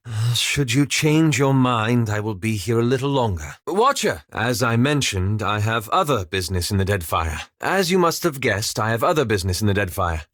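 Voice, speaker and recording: A reserved, scholarly male adventurer from a fantasy game. His mid-pitched voice moves expressively through formal, careful phrasing. He is polite and a little apologetic, recorded very dry. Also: no echo. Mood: awkward, apologetic, then formal, tentative. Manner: formal, measured, polite, hesitant diction. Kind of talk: video game character dialogue.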